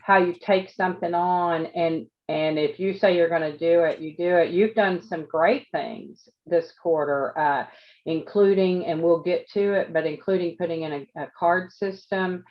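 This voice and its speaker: A woman's voice: lowish voice, steady tone, moderate pace, muffled recording. A woman business coach hosting an interview over a video call, encouraging and repeating words as she thinks. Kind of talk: interview host, casual.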